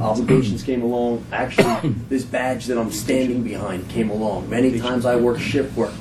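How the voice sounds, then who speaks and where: Police official, forties, plainspoken; distant echoey room sound with background noise. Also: male voice, medium pitch. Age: 40s. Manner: earnest, plainspoken, addresses the room. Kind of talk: testimony at a public meeting. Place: council chamber.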